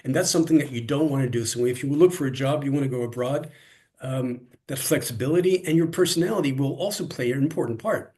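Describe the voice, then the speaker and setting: A man's voice: medium pitch, quick pace, warm tone. A man who grew up in Canada, speaking as a guest in a casual interview, thoughtful and often restarting his sentences. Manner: thoughtful, many restarts and repeated words. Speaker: interview guest, cross-cultural coach. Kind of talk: conversational interview.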